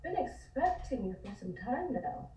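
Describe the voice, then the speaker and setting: Woman playing a sultry, unhurried, knowing lounge singer. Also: fairly high pitch, sing-song, dark tone, a little hiss or hum. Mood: knowing, teasing. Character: sultry, knowing female nightclub singer, confidently mysterious. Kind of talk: voice acting at an online script table read. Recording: muffled, echoey room, distant mic.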